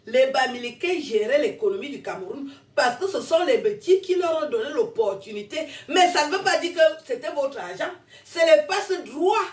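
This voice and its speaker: A high-pitched woman in her fifties, born in France, rants in a combative, rambling way and slips laughs between her jabs. She sits well back from the mic, and her voice bounces around an echoey room. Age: 50s. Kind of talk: heated monologue to camera. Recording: roomy echo, distant from mic.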